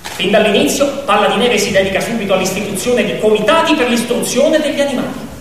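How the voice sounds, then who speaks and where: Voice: medium pitch, fast talker. A stage narrator telling an animal fable theatrically, expressively and with conversational asides to the audience. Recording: echoey hall, distant mic, faint noise.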